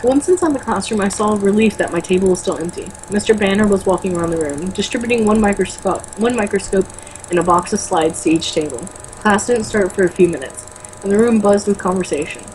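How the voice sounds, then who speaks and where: A woman's voice: mid-range voice, quick pace, a little mellow, slight background noise, rough recording. A woman reading a novel aloud as an amateur, tripping over words now and then and breaking off for blunt, mocking, casual commentary. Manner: stumbles over words when reading, blunt and sweary in asides.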